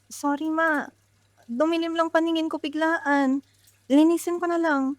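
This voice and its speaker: A woman's voice: high voice, flat delivery, unhurried, no room sound, clean studio sound. A woman with a Filipino accent playing an ailing, uncomplaining young daughter in pain, in an amateur radio drama. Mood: weary reassurance. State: in pain, unwell.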